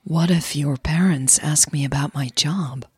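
A woman's voice: unhurried, a little mellow, husky, dry recording, clean studio sound, full character voice. A woman voicing an anxious, earnest, slightly older girlfriend in a romance audio drama, speaking hesitantly. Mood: worried. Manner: hesitant, earnest, low-key.

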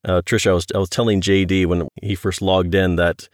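Male podcast host, middle-aged. Low-pitched, dry close-mic sound, conversational interviewer. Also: level tone, a little fast, dry recording. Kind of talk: casual interview chat.

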